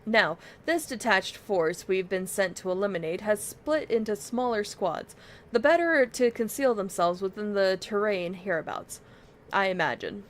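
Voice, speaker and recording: A woman's voice: medium pitch, normal speed, a little hiss or hum, lightly acted. A woman reading a confident, formal military commander's briefing aloud from a fantasy video game. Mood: brisk, confident. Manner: formal, orderly, declarative. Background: video game music.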